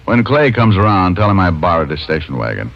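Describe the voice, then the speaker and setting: A man's voice: low-pitched, very animated, fairly quick. An American actor playing a wisecracking, self-mocking private detective who narrates his case in mid-century radio style. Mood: matter-of-fact. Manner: wry, glib, self-mocking. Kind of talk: 1940s-50s radio detective acting. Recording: dull old radio recording, music behind.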